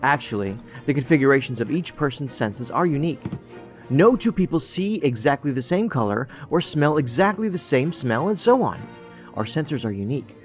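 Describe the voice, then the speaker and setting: A man's voice: mid-range voice, very animated, normal speed, soft background music, lightly acted. A man playing a quirky, tactless federal agent in an audio drama, talking in a rambling, pedantic, matter-of-fact way. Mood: musing, chatty.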